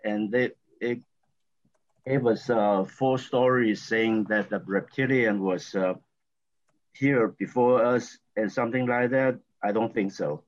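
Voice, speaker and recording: A man from Taiwan with a Taiwanese accent, a guest talking in a halting, rambling way with lots of fillers and restarts. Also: lowish voice. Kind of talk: casual interview talk. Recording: dull lo-fi audio.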